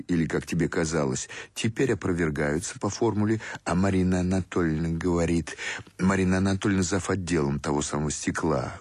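A man reading scripted literary prose as the narrator of a radio play, in a measured way. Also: low voice, darkish tone, husky, no room sound.

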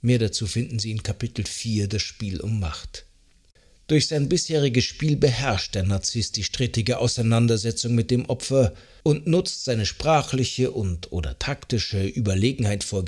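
A man reads scripted nonfiction as an audiobook narrator, in an articulate, measured, explanatory way. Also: on the low side, darkish tone, breathy edge. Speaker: audiobook narrator of a psychology self-help book.

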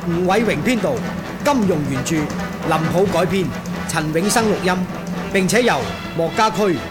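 High-pitched male radio announcer. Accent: Hong Kong Cantonese. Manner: formal, measured. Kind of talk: scripted credits announcement. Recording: radio announcement over loud music.

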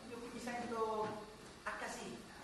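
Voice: medium pitch, flat delivery, unhurried, close to an everyday voice. An actor playing a contented, naive character in an Italian comic drama, recorded from afar in a noisy, echoey space. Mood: contented, musing. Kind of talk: stage-like radio drama acting, Italian comedy. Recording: very echoey, distant, noisy live performance.

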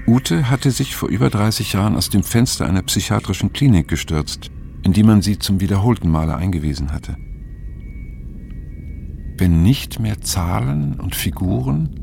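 An actor playing a brooding middle-aged diarist in an audio drama, telling his story in a sober, reflective, measured way. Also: fairly low pitch, gravelly, warm tone. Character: brooding man past middle age, haunted first-person diarist. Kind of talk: literary audio drama monologue. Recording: music behind the voice. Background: music.

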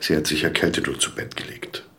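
An actor playing a middle-aged paralysed publisher, brooding, terse and reserved, in an introspective radio drama. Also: male voice, a bass voice, level tone, fairly quick, fairly bright tone, slightly rough, heavily acted. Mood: wistful, guarded.

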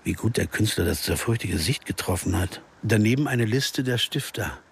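An actor playing a wry, puzzled investigator who narrates a radio crime drama in a terse, musing way. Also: male voice, on the low side, expressive, moderate pace, vocal fry, a little hiss or hum. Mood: observant. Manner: musing, terse, asking himself questions.

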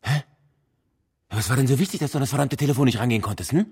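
An actor playing a foul-mouthed, cynical, corrupt city cop in a radio drama, gruff and bullying. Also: male voice, medium pitch, rapid-fire, a little mellow, lightly acted. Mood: gruff, impatient.

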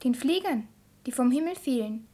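Young girl in a radio play, slightly high voice, simple lyrical telling. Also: expressive, normal speed, dark tone. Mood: quiet, grave. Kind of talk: poetic German radio drama acting.

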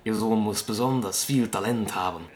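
A man playing an excitable live radio correspondent in a radio play. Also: on the low side, moderate pace, close to an everyday voice. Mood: solemn. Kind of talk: mock live broadcast reporting, radio play.

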